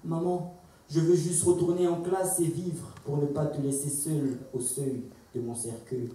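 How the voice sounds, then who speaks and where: A plaintive sick young girl acted out by a man pleads with her mother in rhythmic stage poetry. The voice is high for a man, and rings from afar in an echoey hall. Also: flat delivery, normal speed, mellow, lightly acted. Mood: plaintive pleading. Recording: echoey hall, distant microphone.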